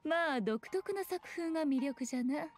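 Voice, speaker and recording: An actress voicing a sly, ageless fox shrine maiden in a video game, speaking in composed, condescending, archaic lordly language. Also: female voice, sits high, expressive, normal speed. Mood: composed, authoritative. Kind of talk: scripted video game dialogue. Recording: soft music underneath.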